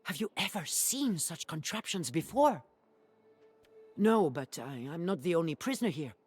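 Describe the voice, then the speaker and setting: Rescued scholar, female. Curious and earnest, swooping intonation, breathy edge, game dialogue. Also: lowish voice, expressive, moderate pace, a little mellow, faint music behind. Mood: grateful, curious. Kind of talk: video game dialogue acting.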